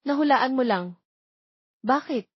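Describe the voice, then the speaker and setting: Babaeng gumaganap na prangkang binatang kaibigan, medyo paos. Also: female voice, high voice, expressive, a little slow, breathy edge, dry recording, voice pushed up, heavily acted. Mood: blunt. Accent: Filipino. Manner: blunt, joking. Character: blunt, teasing young male best friend. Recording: dull, lo-fi but dry recording.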